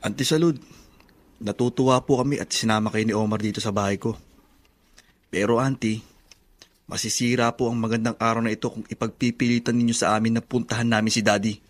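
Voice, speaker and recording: A man's voice: lowish voice, steady tone, brisk, lightly acted. A Filipino man playing a hurt, stubborn adult nephew in a radio drama, polite but firm.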